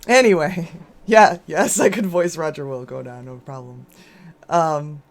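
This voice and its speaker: Hoarse woman streamer, rambling and chatty. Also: takes it slowly. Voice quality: lower and scratchier than usual. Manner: rambling, chatty, with frequent restarts and laughs. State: hoarse from a sore throat. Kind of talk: casual chat while gaming.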